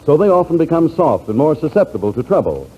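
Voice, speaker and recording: A man's voice: fairly high pitch, very animated, fast, warm tone, slightly rough. An American man announcing and reading scripted advertising in a 1940s radio style. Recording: dull, faintly noisy 1940s radio recording.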